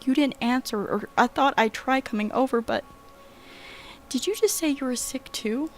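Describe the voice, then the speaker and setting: A woman's voice: high-pitched, moderate pace, a little hiss or hum. An actress playing a frightened, sick young woman in a horror audio drama, urgent, agitated and pleading. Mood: anxious, shaken.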